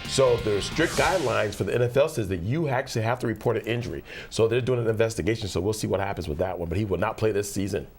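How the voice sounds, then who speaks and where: A man running through football injury reports on a sports talk show in a brisk way. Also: lowish voice, a little mellow, faint music behind. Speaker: football analyst.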